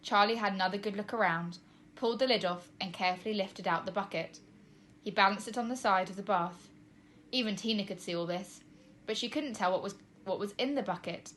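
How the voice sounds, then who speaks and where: Woman teacher reading a kids book out loud, medium pitch, expressive storytelling. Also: brisk, slight background noise. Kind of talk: children's storybook narration.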